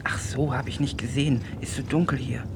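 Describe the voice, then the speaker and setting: A naive teenage boy from the provinces, played by a man, in a radio drama. He rambles earnestly, apologises a lot and chats on at a middling pitch. The recording has clear noise and rumble behind him. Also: fairly even intonation, brisk, close to an everyday voice. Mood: apologetic, flustered. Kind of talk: German radio play dialogue.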